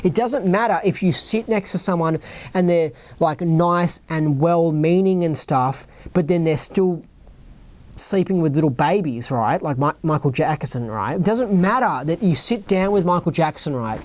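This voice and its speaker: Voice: mid-range voice, normal speed, a little mellow, no room sound. Rambling Australian podcaster reading aloud. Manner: rambling, keeps asking "right?" and "you know what I mean?". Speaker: podcast host. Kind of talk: reading a written account aloud with off-script asides.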